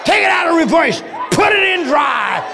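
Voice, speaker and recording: A man preaching a sermon to his congregation, shouting and chanting in a call-and-response style. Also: extremely high pitch, big pitch swings, normal speed, creaky. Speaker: pastor. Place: large church. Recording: crowd and noise behind, loud. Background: congregation crowd.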